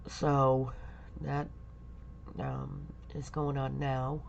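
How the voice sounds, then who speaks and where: A middle-aged woman talking casually in a personal vlog, halting and rambling, stuffy from a lingering cold. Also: extremely low pitch, little pitch movement, vocal fry, highs cut off, slight background noise. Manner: halting, rambling, many pauses and fillers. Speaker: vlogger.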